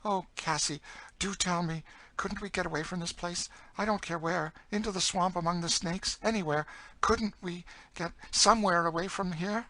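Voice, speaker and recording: A man's voice: high voice, normal speed, bright, forceful tone, voice pushed up, rough recording. A man voicing a frightened, innocent young enslaved woman in an audiobook, pleading breathlessly. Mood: anxious pleading. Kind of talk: dramatised audiobook reading of a classic novel.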